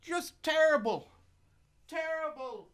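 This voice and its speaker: An irritable country doctor acted by a man in a radio drama, his voice high and forceful. He scolds and scoffs, rattled underneath. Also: expressive, slow, full character voice. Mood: testy, rattled. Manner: testy, scolding, exclaiming. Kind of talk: radio play acting.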